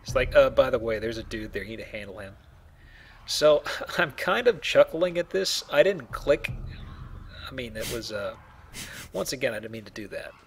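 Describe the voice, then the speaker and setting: A man hosts a casual playthrough in a mid-pitched voice. He explains as he goes and tends to ramble. There is background noise and game ambience behind his commentary. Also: expressive, normal speed, fairly bright tone. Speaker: let's play host, playing the game.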